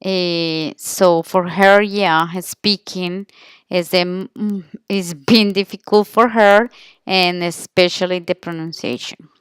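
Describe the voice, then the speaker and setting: Halting Colombian woman, smooth clear voice. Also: fairly low pitch, fairly even intonation, no room sound. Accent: Colombian Spanish. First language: Spanish. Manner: halting, searching for words, self-correcting. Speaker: language student presenting an interview. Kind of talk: informal spoken class presentation.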